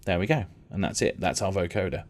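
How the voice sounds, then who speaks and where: A man explaining music software as a tutor, in an informal, rambling, conversational way. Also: low voice, expressive, quick pace. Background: synth and vocoder music.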